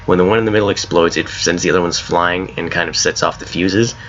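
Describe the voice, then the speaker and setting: A man with a very low, hoarse voice, since he's coming down with a cold, talks through his game mod in a loose, rambling way. His tone is bright and forceful despite the depth. The home recording sounds a little dull, with a faint hiss underneath. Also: steady tone, fast talker. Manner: rambling, self-correcting, laughs at his own ideas. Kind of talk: casual gameplay commentary.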